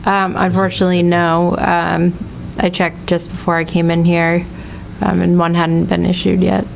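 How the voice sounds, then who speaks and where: A woman giving a site report at a town meeting, careful and filling pauses with um. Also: on the low side, level tone, creaky. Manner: careful, many ums. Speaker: conservation agent. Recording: noisy meeting room audio.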